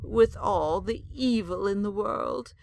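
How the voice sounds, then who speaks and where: An actress playing a devout, shrewd elderly woman who bargains dryly and deliberately. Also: mid-range voice, vocal fry, darkish tone, slight background noise. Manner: dry, canny and bargaining, with slow deliberate wit. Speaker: Audrey Adams. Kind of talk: audio drama acting.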